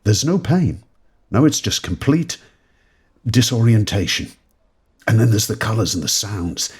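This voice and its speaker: An actor playing a rattled, wry man in an audio drama, musing haltingly and swearing freely. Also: fairly low pitch, very animated, a little mellow, breathy edge, heavily acted. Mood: awed, unsettled. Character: rattled man who has crossed between eras, wry, sweary. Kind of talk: modern audio drama monologue.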